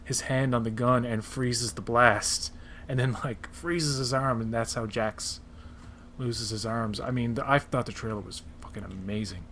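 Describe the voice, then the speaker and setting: A man's voice: mid-range voice, fairly even intonation, moderate pace, fairly bright tone, a little hiss or hum. A man chatting casually and enthusiastically, with strong opinions, as a panelist on a pop culture show. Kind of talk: casual chat about movie trailers.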